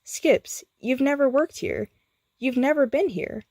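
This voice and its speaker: A woman's voice: sits high, unhurried, darkish tone, no room sound, pitched above the performer's own voice. A woman voicing a female guardian angel, a lost sweetheart who speaks tenderly and solemnly.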